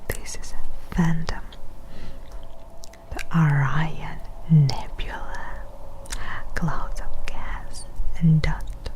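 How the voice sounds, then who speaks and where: A woman performing ASMR narration in a hushed, breathy whisper, pausing between short phrases. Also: sits low, level tone, low-quality recording.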